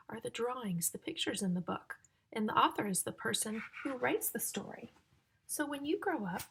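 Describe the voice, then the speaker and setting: A woman reading a picture book aloud to young children in a sing-song, exaggerated way, pausing to ask and explain like a teacher. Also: mid-range voice, breathy edge. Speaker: children's story-time reader and teacher.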